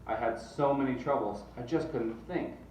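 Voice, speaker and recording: A man's voice: medium pitch, moderate pace, a little mellow. Man reading a storybook aloud, echoey and distant. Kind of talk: expressive storybook read-aloud. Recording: distant mic, roomy echo, faint noise.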